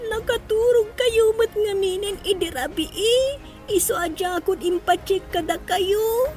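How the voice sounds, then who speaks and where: Young schoolchild for audio drama: very high, wounded, plaintive, clear music underneath. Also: female voice, measured pace, a little mellow. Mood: wounded, defiant. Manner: plaintive, whining. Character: hurt, tearful young schoolchild, defiant when scolded. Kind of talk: melodramatic audio drama acting.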